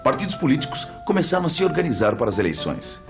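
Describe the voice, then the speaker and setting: A man's voice: medium pitch, sing-song, fast, over music. A man with a Brazilian accent presents a scripted educational explanation in a didactic way, posing rhetorical questions. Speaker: presenter of an educational history program.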